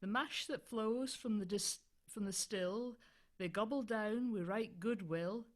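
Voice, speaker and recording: A woman with a Scottish accent reciting poetry, quiet and distant on a video call. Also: mid-range voice, a little slow. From northeast Scotland. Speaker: folk club performer.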